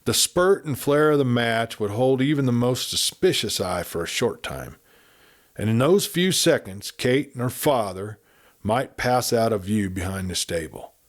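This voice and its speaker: A man reading a western novel aloud in a steady storytelling manner. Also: medium pitch, measured pace. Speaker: audiobook reader.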